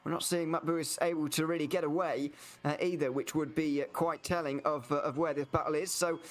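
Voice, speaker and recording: A man's voice: fairly high pitch, steady tone, fairly quick. A man co-commentating a sim race live, hesitant with many fillers before he picks up the call.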